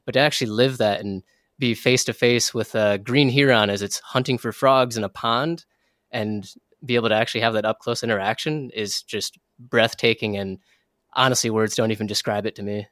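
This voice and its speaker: Smooth, low-voiced young American man. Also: steady tone, normal speed, fairly bright tone, no room sound, studio quality. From southern Minnesota, United States. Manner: informal, slangy, effusive. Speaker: interview guest, wildlife photographer. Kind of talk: casual interview chat.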